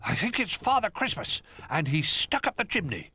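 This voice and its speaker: A man's voice: high voice, very animated, normal speed, pushed and bright, breathy edge, voice pushed up, heavily acted. A man doing an eager little toy dog. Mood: urgent.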